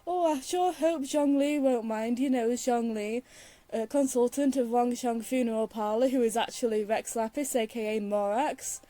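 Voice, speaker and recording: A woman's voice: a little high, moderate pace, mellow, no echo. A woman giving casual, rambling playthrough commentary in a teasing, exasperated, giggly manner. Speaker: streamer playing a story game.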